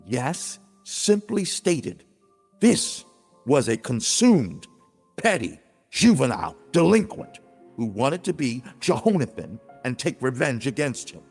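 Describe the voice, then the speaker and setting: A man giving a dramatized, theatrical narration of a written story, with emphatic and deliberate phrasing. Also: medium pitch, sing-song, darkish tone, slightly rough. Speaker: narrator reading own book. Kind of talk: dramatized scripted narration of an allegory. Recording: long reverb, music underneath. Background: music.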